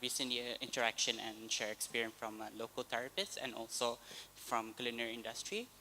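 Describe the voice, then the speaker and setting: A man from Brunei, speaking in quick run-on sentences on a panel. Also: medium pitch, sharp tone, slight background noise, poor audio. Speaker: youth delegate.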